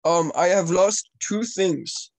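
A man's voice: high voice, slow, creaky. Crude teenage boy prank caller. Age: teens. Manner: crude, foul-mouthed. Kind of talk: casual prank call banter. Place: small room at home, indoors.

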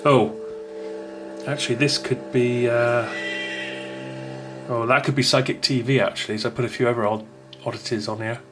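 A chatty man talks his way through a gadget review at a table indoors, restarting his sentences often. His voice sits at a middle pitch for a man, and faint music plays behind him. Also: level tone, moderate pace, pauses often. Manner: rambling, chatty, many restarts. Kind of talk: casual unboxing talk.

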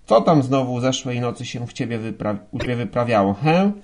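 A man voicing a furious, pompous police lieutenant in an audiobook, scolding and indignant in a loud shout. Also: mid-range voice, animated, brisk, slight background noise, natural voice. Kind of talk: audiobook character acting.

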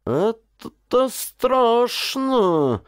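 Male narrator as motherly middle-aged woman, raised pitch, emotional, fussing, audiobook character. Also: sing-song, drawn-out words, clear, resonant tone, no echo, clean studio sound, heavily acted. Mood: horrified.

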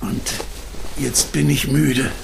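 An actor playing an elderly, ill coin dealer in a radio play, talking tiredly. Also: male voice, mid-range voice, steady tone, slow pace, creaky, slight background noise, poor audio. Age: older.

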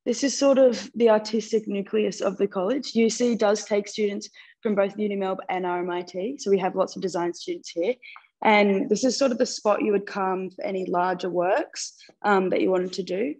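A woman's voice: medium pitch, fairly even intonation, moderate pace, darkish tone, rough recording. A young Australian woman giving a casual, informative tour as a student guide. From Mildura, Victoria, Australia. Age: young adult. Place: large studio room.

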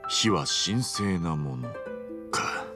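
A man playing an earnest, rough-spoken, big-hearted hero in a video game, husky and blunt. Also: low-pitched, animated, drawn-out words, over music, exaggerated voice. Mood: thoughtful. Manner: blunt, informal. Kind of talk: scripted Japanese video game dub.

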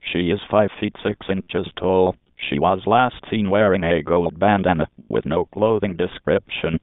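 A man's voice: deep voice, fairly even intonation, unhurried, no room sound. A man's formal, synthetic-sounding voice reading a scripted emergency alert announcement. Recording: tinny phone-like band.

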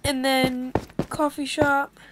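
A woman's voice: high-pitched, little pitch movement, takes it slowly, very noisy. A young woman hosting a casual game commentary, chatty and upbeat as she recaps the session. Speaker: gaming channel host.

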